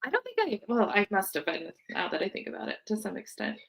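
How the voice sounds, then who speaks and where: A woman's voice: medium pitch, very animated, fast, gravelly. An American woman in her thirties, chatting casually as a guest in an interview. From United States, Seattle area. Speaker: podcast guest, birth worker.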